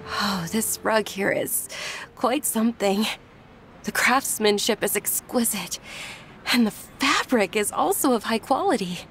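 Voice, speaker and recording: A woman playing a gentle, timid young noblewoman in a video game, polite and refined. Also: mid-range voice, animated, a little slow, slight background noise. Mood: pleasant.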